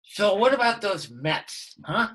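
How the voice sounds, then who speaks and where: A man's voice: high voice, big pitch swings, slow pace, pushed and bright, heavily acted, poor audio. A man playing an amiable, clueless sitcom dad in a table read over a video call. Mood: affable, puzzled. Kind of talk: comedy script table read. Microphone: computer on video call.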